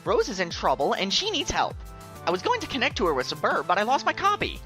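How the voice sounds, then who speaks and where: A woman playing an excitable, easily exasperated teenage boy with an animated, emphatic delivery in a webcomic voice drama. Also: mid-range voice, fast talker, soft background music. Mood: exasperated, urgent. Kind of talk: dubbed webcomic dialogue acting.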